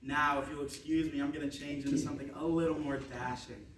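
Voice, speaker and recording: A man playing a vain, self-satisfied young prince in comic readers theatre, smooth-talking and breezy. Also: on the high side, steady tone, quick pace, a bit bright, natural voice. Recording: roomy, distant stage recording, faint noise.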